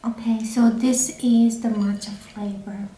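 Slow, chatty woman reviewing food. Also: a little high. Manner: relaxed, chatty, with many fillers and restarts. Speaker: food vlogger. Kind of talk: casual food review to camera. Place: small indoor room. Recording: echoey room with faint music.

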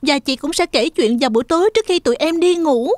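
A woman's voice: high voice, fast, no echo, studio quality, close to an everyday voice. A woman voicing a kind, motherly young girl in a cartoon dub. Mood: motherly, assured.

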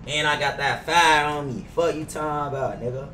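A man's voice: a little high, fairly even intonation, fairly quick, pushed and bright, roomy sound, recorded from a distance, slight background noise. A young American man live-streaming a roleplay game on a headset, with brash, slangy, profane trash talk. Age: young adult. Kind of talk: casual gaming banter with slang and profanity. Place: indoor gaming setup. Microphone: gaming headset.

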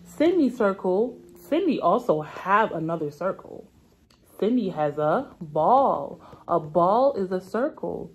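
A woman's voice: fairly low pitch, sing-song, slow pace, breathy edge. A young woman speaking as a preschool teacher, reading aloud to small children in simple, repeated, stretched-out phrases. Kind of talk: children's read-aloud lesson. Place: indoor classroom.

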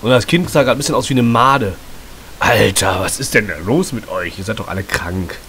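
Let's-play host, male, slightly hoarse and throaty, low-ish voice, joking and chatty over a hissy mic. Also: a little fast, fairly bright tone. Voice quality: clears throat often. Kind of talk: casual gameplay commentary.

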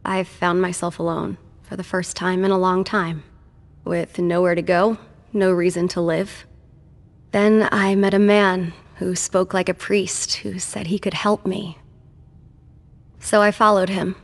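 An actress voicing a defiant, world-weary former servant of a vampire in a video game. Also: female voice, fairly low pitch, flat delivery, unhurried. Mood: sober, reflective. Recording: long reverb.

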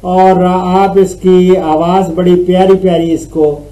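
A man's voice: high-pitched, little pitch movement, takes it slowly, mellow, low-quality recording. A middle-aged man from Pakistan giving farm advice to callers in a friendly, joking phone-in chat, recorded on a webcam in a small room. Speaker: agricultural advisor answering callers.

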